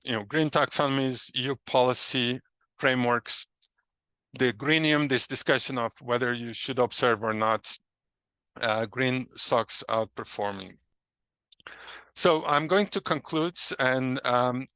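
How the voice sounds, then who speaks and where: A man's voice: medium pitch, bright, forceful tone, clean tone, no room sound. A man from Brazil, a native Portuguese speaker with a Brazilian Portuguese accent, giving an academic lecture with many fillers and restarts.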